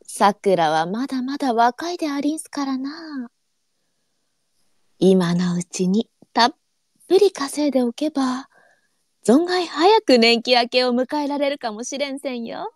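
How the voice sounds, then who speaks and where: A woman playing a gentle, unflappable senior courtesan in a period audio drama, teasing and speaking in archaic courtesan dialect. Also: sits high, animated, unhurried, dry recording, clean studio sound. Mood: gentle, composed.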